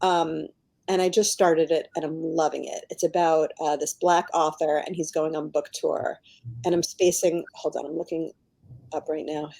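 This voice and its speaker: A woman's voice: low-pitched, level tone, normal speed, vocal fry, warm tone. A middle-aged American woman chatting informally as an author in an interview, often dropping "like" into her sentences. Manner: informal, peppers talk with "like". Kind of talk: casual chatty book interview.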